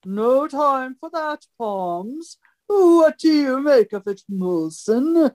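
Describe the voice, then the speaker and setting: A woman's voice: high-pitched, animated, slow pace, darkish tone. A woman playing an imperious, theatrical great detective with a pompous, declamatory delivery in an old-time radio mystery parody. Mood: commanding, probing.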